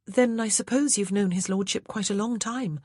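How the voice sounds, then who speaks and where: A woman's voice: medium pitch, normal speed, a little mellow, breathy edge, no room sound, studio quality, pitched above the performer's own voice, close to an everyday voice. A woman voicing a poised young lady of good family who speaks in formal, refined period speech. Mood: polite. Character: young genteel lady, newly married, poised.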